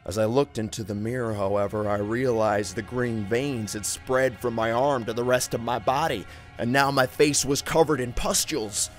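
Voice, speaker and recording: A man's voice: mid-range voice, moderate pace. A man narrating a first-person horror story in an intense, theatrical, dramatic way. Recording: music behind the voice.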